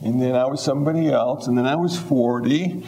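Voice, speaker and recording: A man's voice: mid-range voice, brisk, mellow. A man in his seventies giving a humorous Buddhist dharma talk, easygoing and wry. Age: 70s. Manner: easygoing, wry, acts out little voices. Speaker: Buddhist teacher.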